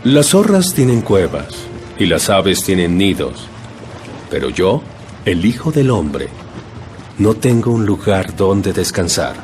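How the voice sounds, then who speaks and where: A man's voice: low-pitched, big pitch swings, slow pace, darkish tone, faint music behind, heavily acted. An actor playing a commanding, compassionate male teacher and healer in an audio Bible drama, speaking in a solemn, emphatic, declamatory way. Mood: earnest, firm.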